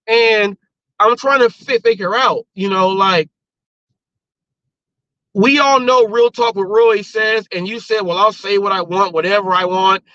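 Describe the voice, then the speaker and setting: High-pitched, bright-voiced male talk host. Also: a little slow, no room sound. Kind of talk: casual live talk show. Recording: dull, lo-fi stream audio.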